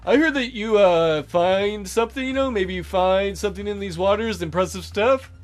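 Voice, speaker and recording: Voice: medium pitch, moderate pace, lightly acted. A man voicing a smug, sleazy older male treasure hunter from a visual novel while playing it. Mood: smug, wheedling. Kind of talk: visual novel character voiced by a let's player.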